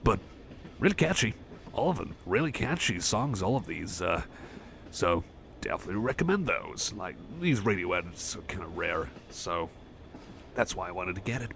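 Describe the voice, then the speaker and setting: A shy cyborg chum, played by a man, speaks in a very low voice full of gravelly fry. He rambles softly and hesitantly in a friendly, self-effacing ASMR roleplay style. Clear background noise sits behind the voice. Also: full character voice. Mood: bashful, rambling. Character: shy, friendly young male cyborg music fan. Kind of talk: soft casual ASMR roleplay chat.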